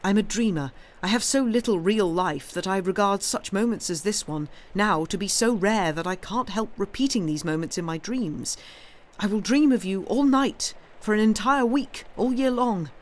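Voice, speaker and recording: A reader voicing a lonely, timid young man in an audiobook, impulsive and effusive, with a halting, pleading delivery. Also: high voice, close to an everyday voice. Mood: earnest, effusive. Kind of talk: dramatised audiobook reading.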